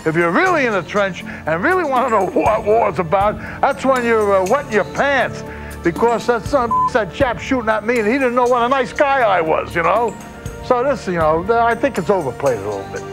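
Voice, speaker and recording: A man's voice: sits high, expressive, a little fast, creaky, poor audio. A man, a former football player, telling stories in a blunt, salty, joking way in an interview. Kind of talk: interview storytelling. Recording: music behind.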